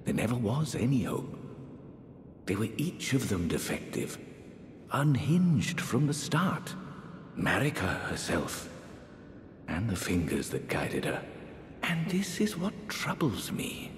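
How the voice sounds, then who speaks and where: An older man playing a genteel, eerie aristocratic sorcerer priest in a fantasy game, speaking in theatrical archaic phrases with a breathy voice that drops toward whispers. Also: big pitch swings, takes it slowly, long pauses. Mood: sorrowful, bitter. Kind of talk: dramatic fantasy game character dialogue. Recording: echoey hall reverb, faint rumbling noise.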